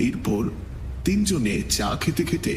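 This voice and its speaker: A man's voice: fairly high pitch, very animated, a little slow, slight background noise. A man narrating a scripted horror story in a reverberant recording. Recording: echoey, long reverb, music behind.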